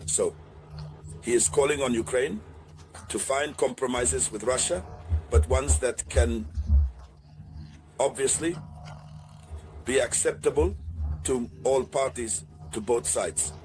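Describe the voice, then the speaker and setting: A man hosting an online political news analysis, speaking deliberately to viewers and repeating key phrases. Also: medium pitch, a little mellow.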